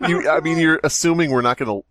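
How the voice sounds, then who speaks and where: A man's voice: on the high side, fast talker, a bit bright, dry recording. Joking American man, very dry sound. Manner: joking, sarcastic. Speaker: podcast host. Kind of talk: casual chat.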